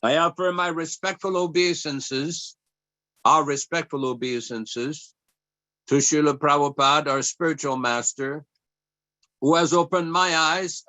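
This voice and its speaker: A French-native man leads a devotional class in a slow, deliberate cadence. His voice sits a little high and rings bright and forceful. It is recorded dry and close, with no room sound. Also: no echo, poor audio. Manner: slow measured reading, then chanting prayers. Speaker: class speaker.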